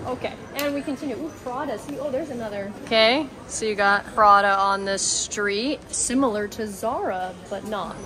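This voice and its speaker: A woman's voice: on the high side, moderate pace, few pauses, crowd noise. A young woman chatting in a bubbly, joking way as a travel vlogger on a city walk. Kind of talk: casual travel vlog chat. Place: outdoors on city streets. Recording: street noise behind.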